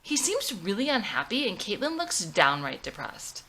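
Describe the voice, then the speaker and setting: A woman's voice: medium pitch, big pitch swings, moderate pace, bright, forceful tone, exaggerated voice. A woman voicing a snobbish, critical, fussy young woman who gossips with disdain in a drama script. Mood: snide gossip.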